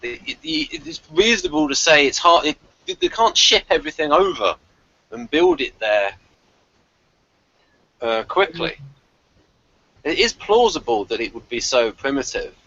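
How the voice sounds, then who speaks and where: A man's voice: on the high side, big pitch swings, a little slow, creaky, long pauses, sharp tone, dull audio. A man in a casual review discussion, stammering and repeating his words as he makes his points. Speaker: podcast reviewer.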